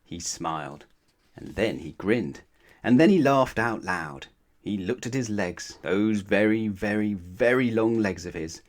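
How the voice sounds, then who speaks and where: A man narrating a children's bedtime storybook aloud in an expressive read-aloud style. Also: on the low side, measured pace.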